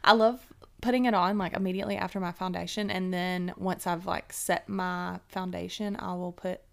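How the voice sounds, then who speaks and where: A young woman, co-hosting a podcast, laughing often and quick with asides. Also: fairly low pitch, creaky. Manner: giggly, animated, quick asides. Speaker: podcast co-host. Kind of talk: casual chat.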